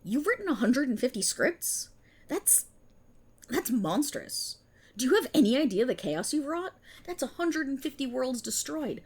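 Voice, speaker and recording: Woman acting a smug, theatrical policewoman, swooping pitch. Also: big pitch swings, moderate pace, a little mellow, husky, heavily acted. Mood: appalled, scolding. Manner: theatrical, sarcastic, mocking. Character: smug, vindictive female multiverse police officer interrogating a writer. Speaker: ASMR roleplay performer. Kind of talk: ASMR roleplay acting.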